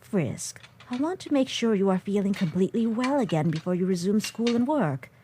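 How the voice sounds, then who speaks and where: A woman voicing a caring, motherly monster in a fan comic dub, speaking softly and formally. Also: medium pitch, expressive, normal speed, a little hiss or hum, close to an everyday voice. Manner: soft, formal, careful speech without contractions.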